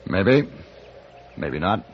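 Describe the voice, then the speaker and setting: An actor playing a cool, shrewd western gunfighter in measured, terse old-time radio style. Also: male voice, deep voice, very animated, slight background noise, exaggerated voice. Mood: dry, probing. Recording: muffled, worn old radio recording.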